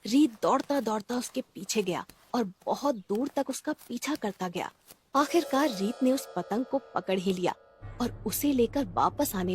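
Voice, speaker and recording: A woman's voice: on the high side, a little mellow. A woman narrating a scripted cartoon story for children.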